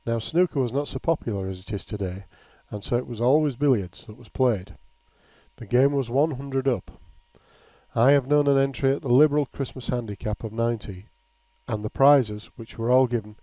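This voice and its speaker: Man reading memoirs, dull echoey sound. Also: medium pitch. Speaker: reader of a written local-history account. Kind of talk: reading aloud a nostalgic written memoir.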